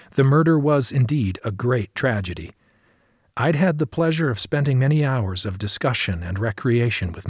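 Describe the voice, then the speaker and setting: Slightly husky male audiobook narrator. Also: mid-range voice, no echo, clean studio sound. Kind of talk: scripted memoir narration.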